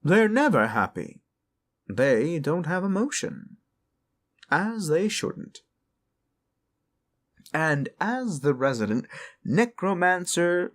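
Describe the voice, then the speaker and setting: A man voicing a polite, scheming lich necromancer in a fantasy audio roleplay, genteel yet commanding and wheedling. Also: on the high side. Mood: exasperated, placating.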